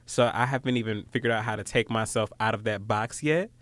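A man's voice: lowish voice, fairly quick, fairly bright tone, no room sound. A man in his late twenties with an American accent, talking casually and reflectively in an informal conversation. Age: late 20s. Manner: informal, reflective, acts out quoted remarks.

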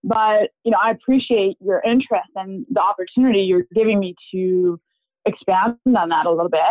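A woman's voice: medium pitch, dry recording. A woman from Germany, a guest on a podcast, chatting openly and laughing now and then. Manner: open, chatty, laughs while telling family story. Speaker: podcast guest, real estate agent. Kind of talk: casual interview talk. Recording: tinny phone-like remote line.

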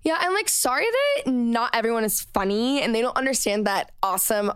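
A young woman in her twenties hosts a podcast chat with a slightly high voice. She talks in a sarcastic, loose way full of fillers, recorded dry and close. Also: very animated, fairly quick, a bit bright, smooth, no echo. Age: 20s. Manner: sarcastic, filler-heavy talk. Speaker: podcast host. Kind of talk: casual chat.

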